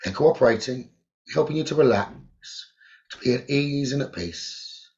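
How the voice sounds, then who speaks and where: A man of middle pitch leads a guided meditation, slow and soothing, repeating phrases and pausing often. The recording sounds dull and lo-fi. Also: a little mellow. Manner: slow, soothing, repetitive instructions with long pauses.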